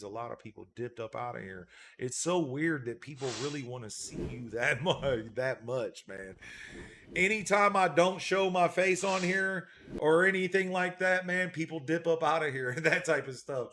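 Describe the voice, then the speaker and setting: A man's voice: on the high side, fairly bright tone. A man hosting a casual livestream as a dog breeder, laid-back, reading out and thanking viewer donations, often repeating a catchphrase.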